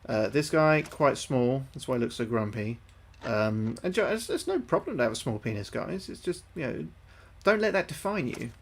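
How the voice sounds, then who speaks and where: A man's voice: medium pitch, animated, normal speed, few pauses, breathy edge. A young man livestreaming a game, thinking aloud to his chat, sweary and repeating himself. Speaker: livestreaming gamer. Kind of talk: casual gameplay commentary to chat.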